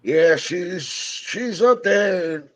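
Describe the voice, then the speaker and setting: A man's voice: high-pitched, very slow. A man in his early fifties with lots of energy, chatting casually about fish with friends. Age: early 50s. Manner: energetic, wired. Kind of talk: casual chat. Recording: dull lo-fi sound.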